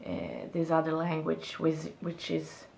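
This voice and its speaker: A young Swedish woman talks slowly and thoughtfully to the camera from a small room, her English carrying a clear Swedish accent. She often pauses to find the right word. Her voice sits a little low. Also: sing-song, a little mellow, echoey room, slight background noise. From Sweden. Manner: thoughtful, searching for words, gestures while talking. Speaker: vlogger. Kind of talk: reflective casual monologue to camera. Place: small room indoors, seated on a bed.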